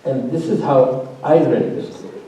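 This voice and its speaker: A man's voice: mid-range voice, lively intonation, normal speed, warm tone, a little hiss or hum. Distant man in a roomy hall. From immigrant living in Norway. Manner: discursive, with many fillers and restarts. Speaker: faculty opponent at a thesis defense. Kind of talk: spoken academic commentary.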